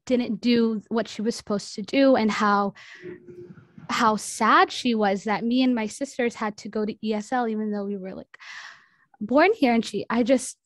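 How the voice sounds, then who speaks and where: A woman with a slightly high voice speaks haltingly on a video call, pausing and apologizing as she goes. Her sound is close and dry. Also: fairly even intonation, no echo. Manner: halting, apologetic, full of fillers. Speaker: panelist. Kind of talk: emotional personal sharing.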